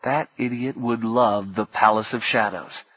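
A man voicing a feverish, frightened young hero with a wry edge, in a husky voice. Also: on the low side, fairly even intonation, a little slow, a bit bright, no echo, dull audio.

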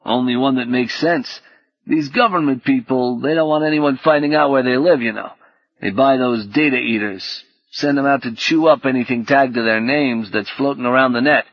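A man playing a loyal, streetwise software assistant in an audiobook, talking in a casual, clipped, wisecracking way. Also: mid-range voice, normal speed, dry recording, highs cut off, natural voice. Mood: offhand, wry.

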